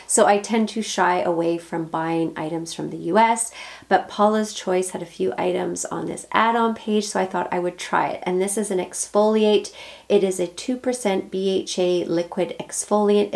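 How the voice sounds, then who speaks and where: A woman in her forties chats to the camera in an upbeat, casual way, her voice hoarse from the flu. Her speech is gravelly and sits a little low, with plenty of ums as she talks. She is recorded at home by a camera on the desk. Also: steady tone, moderate pace, a little mellow. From Canada. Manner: chatty and upbeat, with frequent ums. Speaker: beauty vlogger. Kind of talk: casual unboxing chat to camera. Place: home beauty room with shelves behind. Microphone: camera at desk distance, head and shoulders framing.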